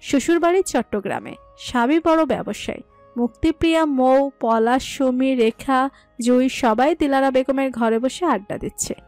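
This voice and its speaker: A woman narrating a romantic family story in a calm, even manner. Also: high voice, normal speed, no room sound. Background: soft music.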